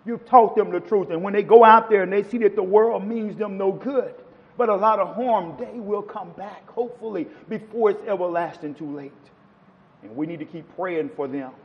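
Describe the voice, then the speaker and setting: A man's voice: sits high, moderate pace, big-room sound, highs cut off. A man preaching a sermon to a congregation, quoting scripture with rising and falling emphasis. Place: church.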